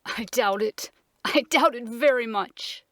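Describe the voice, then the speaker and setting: A woman's voice: fairly high pitch, a little slow, fairly bright tone, no echo, studio quality. A woman voicing a gloomy, dubious male dromedary in a storybook, tremulous and wheezy. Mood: faint doubt.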